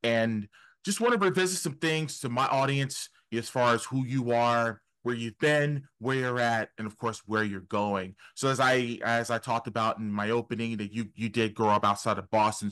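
A middle-aged man hosts a podcast with formal, welcoming phrasing and often repeats his words. He speaks into a studio mic in a small room at home. His voice sits at a middle pitch for a man. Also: moderate pace, fairly bright tone. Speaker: podcast host. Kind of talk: interview host.

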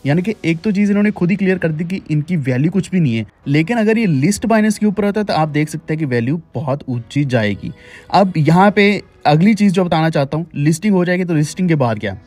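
A man's voice: a little high, animated, fast talker, a little mellow, soft background music. A man hosting a crypto channel, explaining a screen recording in a repetitive, urging way. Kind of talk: screen-recorded tutorial talk.

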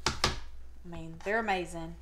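A woman's voice: fairly low pitch, fairly even intonation, unhurried. A middle-aged woman talking in a casual, folksy way to a camera a step or two away in her home kitchen, as host of a cooking demonstration. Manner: chatty, folksy, informal slangy phrasing. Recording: clearly noisy home recording with clicks.

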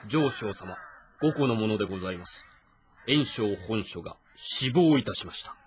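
Man playing a low-voiced formal messenger. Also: expressive, normal speed, close to an everyday voice. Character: dutiful male military messenger announcing grave news. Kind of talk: dramatic audio drama acting.

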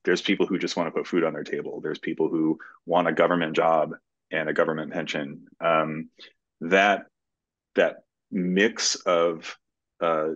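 A man in his thirties with a very low voice talks through his ideas thoughtfully and conversationally. The sound is dull and lo-fi, as recorded over a video call. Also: fairly bright tone. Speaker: screenwriter being interviewed. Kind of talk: conversational interview answers. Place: indoor room at home, video call. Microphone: video call microphone.

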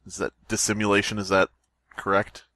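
A man's voice: lowish voice, monotone, fairly quick, sharp tone, dry recording. A man hosting a podcast, reading a story aloud theatrically and breaking off into jokey, sweary banter. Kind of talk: dramatic story reading mixed with joking banter.

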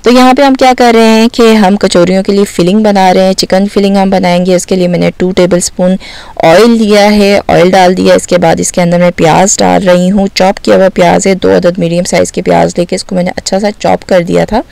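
A woman from Baltistan hosting a home cooking channel, calmly explaining each step of a recipe to the viewer. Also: mid-range voice, a little fast, vocal fry, no room sound. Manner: calm, explanatory, addresses viewer directly.

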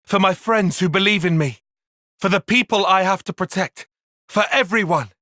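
Bright, intense young tactician fighter. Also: male voice, high voice, normal speed, no room sound, clean studio sound. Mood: determined. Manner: formal, precise, intense. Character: young male tactician fighter, earnest, calculating, proud. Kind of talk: video game battle voice lines.

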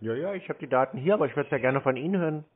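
A man's voice: a little high, animated, rapid-fire. A man talking casually and sceptically on a phone call, challenging the other person in a dry, needling way. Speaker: scambaiter taking a scam call. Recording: narrow, muffled phone sound.

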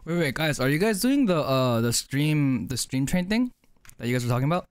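A man's voice: a little high, big pitch swings, fairly quick, smooth. A man hosting a gaming livestream, joking and laughing with friends and his chat. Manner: playful, joking, laughs often. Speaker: streamer, host.

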